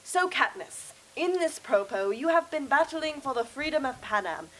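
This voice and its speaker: A woman playing a crisp, directive film crew leader in an amateur radio drama. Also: high-pitched, animated, normal speed, fairly bright tone, low-quality recording. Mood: directing.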